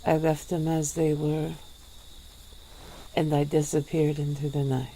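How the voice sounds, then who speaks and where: A woman voicing a centuries-old female vampire in an ASMR roleplay, speaking in a slow, drawn-out, purring way. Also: little pitch movement, a little hiss or hum, low-quality recording. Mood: languid. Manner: slow, low, drawn-out purring delivery with soft laughs.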